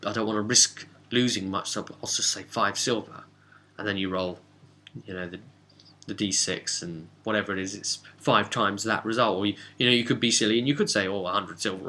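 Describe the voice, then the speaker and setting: British male game-master host, lower-pitched yet sharp and bright, wry, chatty, rambling recap delivery. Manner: chatty, wry, self-interrupting. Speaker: tabletop role-play game master and host. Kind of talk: casual rambling recap of a role-play session.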